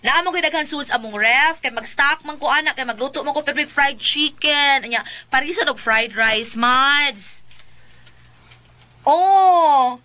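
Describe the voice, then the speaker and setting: A vain spendthrift wife in a radio drama, speaking with a Cebuano Filipino accent. Her voice is high and sharp, and she rambles in gushing exclamations, boastful and quick to snap. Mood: gushing, showy.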